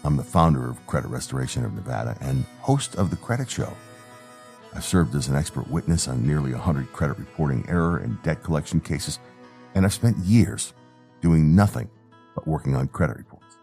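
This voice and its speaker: A man reads a scripted promo as a show host. His voice is extremely low and creaky, with lively swings in pitch, over faint music. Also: brisk. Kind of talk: scripted advertisement read.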